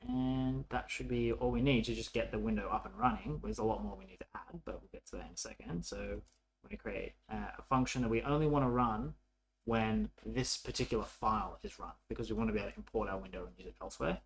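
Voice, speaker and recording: Low creaky-voiced man teaching code. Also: slight background noise. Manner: informal, halting, thinking aloud. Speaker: programming tutorial presenter. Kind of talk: casual coding tutorial narration while typing.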